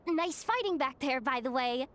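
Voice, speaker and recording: A woman's voice: sits high, lively intonation, moderate pace, a bit bright. A woman playing a wry, streetwise young woman in a video game, casual and self-mocking.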